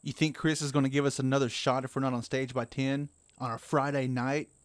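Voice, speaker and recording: A man's voice: medium pitch, little pitch movement, brisk, no echo, close to an everyday voice. A man playing a hefty, irritable young rock musician in a horror story, complaining in profane slang. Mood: griping. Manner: griping, profane slangy talk. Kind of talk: dramatized horror audiobook dialogue.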